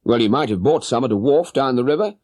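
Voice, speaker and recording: This is a man performing a British gentleman detective from an audiobook story, coolly confident and urbane. His delivery is clipped and probing, with lively intonation and a dry, close sound. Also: mid-range voice, quick pace, no echo, pitched above the performer's own voice, natural voice. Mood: courteous probing. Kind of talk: audiobook character voice.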